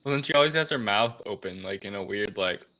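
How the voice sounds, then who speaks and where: A man with a fairly low but bright, forward voice takes part in a casual review discussion as one of the panelists. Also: expressive, fast talker. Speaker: podcast panelist. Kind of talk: casual movie review chat.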